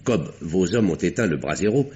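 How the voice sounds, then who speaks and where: A composed doctor-detective lays out his case in a lowish, lively voice. He leans on his pauses to make each point. The recording has a dull, old-broadcast sound. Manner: measured, pointed, dramatic pauses. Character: calm doctor-detective unmasking a murderer. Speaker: Doctor Westlake. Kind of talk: 1950s radio drama acting.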